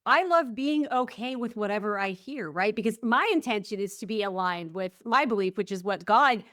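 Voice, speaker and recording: A woman's voice: medium pitch, lively intonation, moderate pace, no room sound, studio quality. Earnest woman hosting a podcast. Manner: earnest and reflective, lots of warm affirmations. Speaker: podcast host. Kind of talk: conversational podcast interview.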